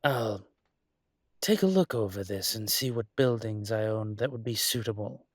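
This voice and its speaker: A man playing a suave, teasing dragon mafia boss in a scripted romantic audio roleplay, speaking deliberately. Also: fairly low pitch, dry recording, studio quality, close to an everyday voice. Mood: warm approval.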